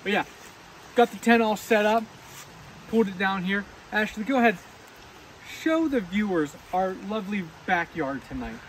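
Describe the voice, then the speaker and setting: A man's voice: high-pitched, lively intonation, normal speed, fairly bright tone, slight background noise, rough recording. Laid-back man vlogging outdoors at camp. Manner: laid-back, rambling with many "uh" and "like" fillers. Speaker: overland camping vlogger. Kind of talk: casual vlog chat. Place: outdoor forest campsite by a creek.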